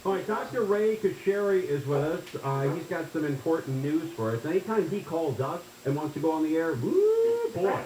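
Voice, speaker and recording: A man's voice: fairly high pitch, wildly swooping pitch, moderate pace, hardly any pauses, a little mellow, roomy sound, slight background noise, rough recording. A man in his forties hosting a casual talk radio show, rambling and folksy, often tagging his sentences with "right?". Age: 40s.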